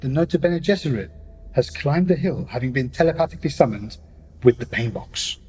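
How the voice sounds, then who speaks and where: Mid-pitched male radio-play narrator. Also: animated, brisk, darkish tone, a little hiss or hum.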